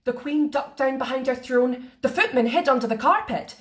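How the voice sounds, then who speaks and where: A woman reading a children's picture book aloud in a playful, expressive way. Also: high-pitched, normal speed. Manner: expressive, sing-song. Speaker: storyteller.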